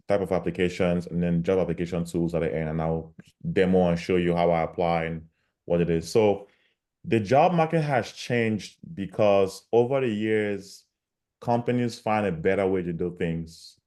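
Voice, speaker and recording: A man from Cameroon with a deep, low voice presents over a video call. He talks casually and repeats himself for emphasis, like a middle-aged guest speaker. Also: fairly even intonation, moderate pace, darkish tone. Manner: repetitive, conversational, emphatic. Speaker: guest speaker on job searching.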